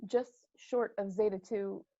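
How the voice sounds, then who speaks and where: A woman's voice: medium pitch, fairly even intonation, unhurried, mellow, dry recording, close to an everyday voice. A woman reading the part of a frustrated starship navigator in a script table read. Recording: dull, lo-fi sound.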